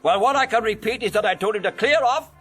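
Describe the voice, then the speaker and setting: An actor playing a gruff, blustering chief inspector in a period detective radio drama. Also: male voice, sits high, fast, a bit bright. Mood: jovial. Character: blustering, hard-boiled male police chief inspector.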